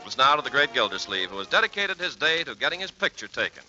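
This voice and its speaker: A man's voice: medium pitch, big pitch swings, fast. A man announcing and narrating from a script in 1940s radio style. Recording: muffled old radio recording with music.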